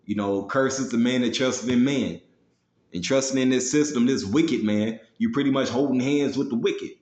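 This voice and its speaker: A man delivers a fiery, confrontational sermon in a roomy, echoing space. His voice sits at a middling pitch, and he speaks in profane street slang, punctuating scripture and commentary with casual tags. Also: normal speed, a little mellow. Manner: slangy, with frequent "man" and "you know" tags. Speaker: preacher.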